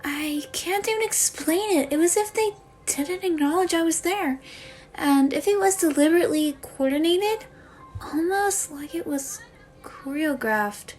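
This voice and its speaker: A woman's voice: very high voice, animated, unhurried, darkish tone, pitched above the performer's own voice. A woman playing an anxious, lonely schoolgirl in a dramatic monologue, speaking in a hesitant, plaintive way. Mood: uneasy, upset. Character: anxious, lonely schoolgirl confiding in her mother. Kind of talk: dramatic monologue for a voice acting demo reel.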